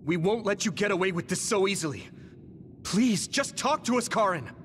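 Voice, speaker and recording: An actor playing an earnest, idealistic young hero in a video game, imploring yet determined. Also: male voice, high-pitched, expressive, normal speed, a bit bright, a little hiss or hum. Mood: determined appeal. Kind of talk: dramatic video game acting.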